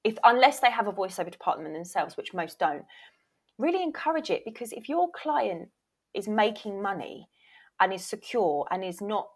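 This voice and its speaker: A woman's voice: mid-range voice, sing-song, moderate pace, husky. A woman giving candid, emphatic answers as a guest in a conversational interview. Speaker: podcast guest, voiceover reel producer and former agent.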